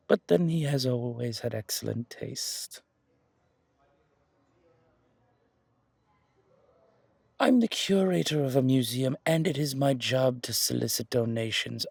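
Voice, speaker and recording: A man playing an effusive, flattering, flirtatious museum curator in a roleplay audio drama, genteel and gushing, with hesitant pauses and repeated words, in a husky, creaky voice. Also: mid-range voice, dry recording, full character voice. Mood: flirtatious, apologetic.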